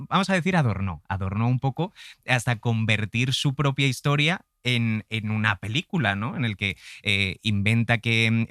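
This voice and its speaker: A man's voice: lowish voice, a bit bright, no room sound, studio quality. A man from in a reflective, conversational podcast discussion, often adding tag questions.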